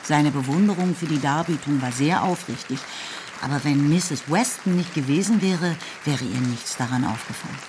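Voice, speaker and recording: A woman narrating a radio drama in a measured, literary storytelling manner. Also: deep voice, background noise. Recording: studio voice over loud music.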